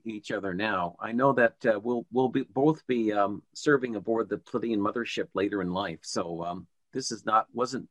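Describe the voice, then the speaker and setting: A man hosting an interview, speaking in a calm, earnest, soft-spoken way. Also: lowish voice, no echo. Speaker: host.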